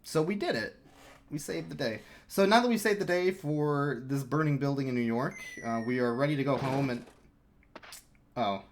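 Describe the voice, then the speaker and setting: A man's voice: medium pitch, moderate pace, a little hiss or hum. A man giving casual, joking live speedrun commentary with a sarcastic edge. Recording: game music playing behind.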